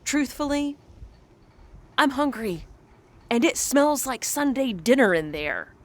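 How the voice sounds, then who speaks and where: Lady doing a fed up soul stuck waiting, slow careful words, dry simmering annoyance, radio play style. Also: high-pitched, sing-song, full character voice. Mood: irritated. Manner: measured, precise, dryly exasperated. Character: impatient soul kept waiting, counting tiles, simmering. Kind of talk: audio drama monologue.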